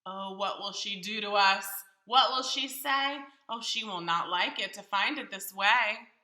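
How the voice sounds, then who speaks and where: A woman's voice: mid-range voice, a little slow, pushed and bright, roomy sound. A woman voicing an anxious, scolding pet fish from a children's storybook, urgent and exclamatory. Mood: frightened, urgent.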